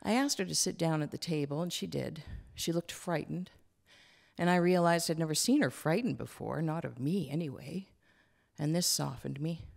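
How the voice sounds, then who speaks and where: A woman reading as a gruff but tender grandfather in his late sixties, folksy and plain-spoken, in a literary story. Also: deep voice, normal speed, a little mellow. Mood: softened, confiding.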